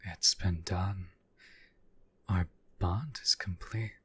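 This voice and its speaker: A man playing a devoted, lovestruck demon bound to a summoner, husky and breathy, speaking in formal, courtly phrases in an intimate audio roleplay. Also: low voice, fairly even intonation, slow pace, pushed and bright, full character voice. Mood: adoring, sultry.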